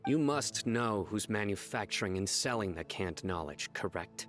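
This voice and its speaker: A man playing a stern, composed investigator in a video game, speaking in a measured, formal, commanding way. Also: fairly low pitch, expressive, a bit bright, faint music behind. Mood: stern, interrogating. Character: stern, composed male investigator, feared and authoritative.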